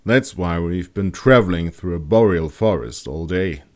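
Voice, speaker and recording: A man's voice: sits low, normal speed, lightly acted. A man voicing a weathered middle-aged male scout, observant and matter-of-fact, in an audiobook. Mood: explaining.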